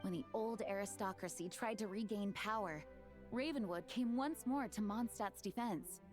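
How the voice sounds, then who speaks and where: A woman plays an earnest, thoughtful female knight leader from a video game. She speaks in a clear, formal way at an ordinary pitch, with faint game music behind her. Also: normal speed, natural voice. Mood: narrating. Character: dutiful female acting grandmaster, earnest and thoughtful. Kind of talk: game voice acting.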